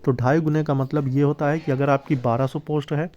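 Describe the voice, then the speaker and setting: A man's voice: on the high side, steady tone, fairly quick, warm tone. A man hosting an exam-prep video, explaining informally and emphatically to candidates, often checking that they follow. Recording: dull, lo-fi sound.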